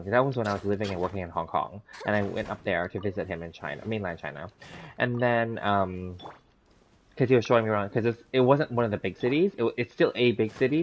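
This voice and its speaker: Low-voiced man rambling on a stream. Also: slight background noise. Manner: rambling, chatty, lots of fillers and restarts; laughs easily while storytelling. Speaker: gaming streamer. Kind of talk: casual livestream chat with viewers. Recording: dull lo-fi stream audio.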